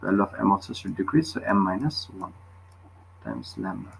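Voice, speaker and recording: A man's voice: deep voice, big pitch swings, normal speed, vocal fry, slight background noise, poor audio. A man tutoring physics, explaining a worked problem step by step and often checking with short questions.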